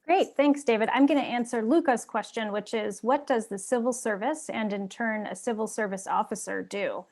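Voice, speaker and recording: A woman who manages an exchange program, answering a question on a webinar panel with hesitant pauses and many ums. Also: fairly high pitch, a little mellow. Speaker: civil service program manager on a career panel.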